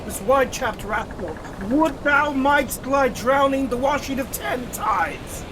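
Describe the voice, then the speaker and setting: A man's voice: extremely high pitch, expressive, slow, exaggerated voice. A man playing a haughty, scornful nobleman, declaiming contemptuously in a Shakespearean audio drama. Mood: bitter. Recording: clear noise, online remote recording.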